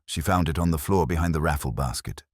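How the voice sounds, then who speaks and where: A man's voice: rumbling low, fast talker, no room sound, clean studio sound. A man voicing a smug, prim village woman who relishes gossip, in an audiobook mystery. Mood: gossipy.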